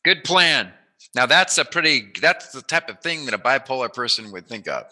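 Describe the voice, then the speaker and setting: A man hosting a casual, joking interview, rambling and teasing and quick to laugh. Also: medium pitch, animated, bright, forceful tone. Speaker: interviewer, host.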